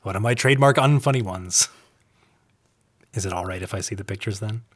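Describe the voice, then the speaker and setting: An actor playing an affectionate, joking middle-aged husband in a naturalistic audio drama, with a playful, eager, self-deprecating manner. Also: male voice, on the low side, brisk, close to an everyday voice. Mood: teasing, apologetic.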